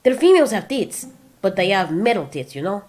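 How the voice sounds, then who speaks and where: A woman's voice: mid-range voice, very animated, fairly quick, a little mellow, natural voice. A woman voicing a crude, oblivious male flying monster who rambles chattily in a comic audio drama. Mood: excited, crude.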